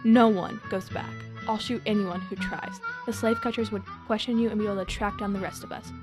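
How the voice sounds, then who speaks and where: A woman's voice: medium pitch, fairly even intonation, brisk, music in the background, close to an everyday voice. A female student reader playing a brave, commanding woman who guides escaped slaves in a school radio drama. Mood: stern, commanding.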